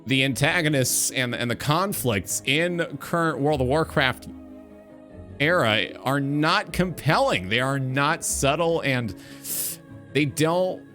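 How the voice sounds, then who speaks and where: A man hosting a talk show, giving opinionated commentary on gaming in an emphatic, deliberate way with ornate wording. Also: medium pitch, expressive, bright, forceful tone, faint music behind.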